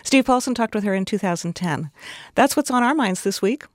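A radio announcer reads a scripted segment wrap-up in a crisp, even delivery. The sound is dry and close, with no room around it. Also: medium pitch, fairly quick, no echo.

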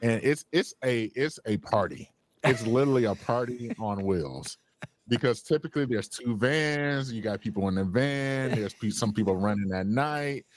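A man's voice: medium pitch, normal speed. A man being interviewed as a guest on a radio show, talking with enthusiasm and laughing easily.

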